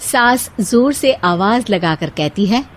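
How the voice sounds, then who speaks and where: A woman's voice: mid-range voice, animated, slow. A woman storyteller reading a scripted moral tale as narrator.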